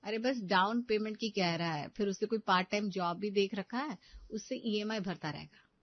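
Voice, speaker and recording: A woman's voice: medium pitch, moderate pace, highs cut off, close to an everyday voice. Woman acting an affectionate middle-aged mother, coaxing. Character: kindly, soothing middle-aged wife and mother.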